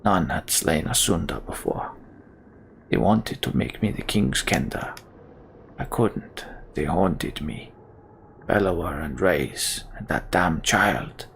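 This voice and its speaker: A man voicing a brooding, haunted giant warrior in a video game, grave, weary and subdued, with a gravelly voice. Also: low-pitched, expressive, slow pace, fairly bright tone, a little hiss or hum, pitched below the performer's own voice, full character voice.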